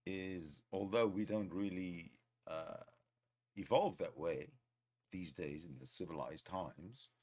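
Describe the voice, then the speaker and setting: A man's voice: low-pitched, takes it slowly, a little mellow, husky. A man from South Africa with a South African accent, chatting casually. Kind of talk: casual chat.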